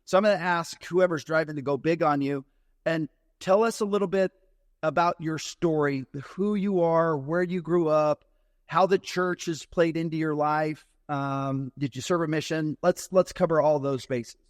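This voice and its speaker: Male host, higher pitch, animated, joking, casual chat, dry close sound. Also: moderate pace, no echo. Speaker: podcast host.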